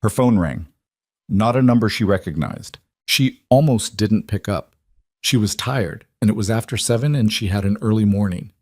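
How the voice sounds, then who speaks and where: Low-pitched man narrating a story, dry sound. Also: moderate pace, darkish tone, no room sound. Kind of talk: scripted dramatic story narration.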